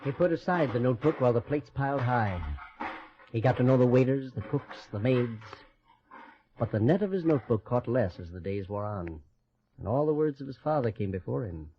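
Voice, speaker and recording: A man's voice: mid-range voice, animated, fairly quick, slight background noise, natural voice. A man narrating a vintage radio drama in a solemn, lyrical, scripted storytelling style. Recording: muffled vintage radio recording.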